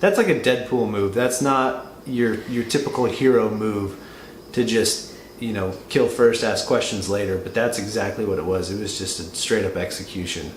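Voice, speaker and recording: A man discussing a film casually, explaining and thinking aloud in a halting, conversational way. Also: on the low side. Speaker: co-reviewer answering and explaining. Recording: roomy echo with faint background noise.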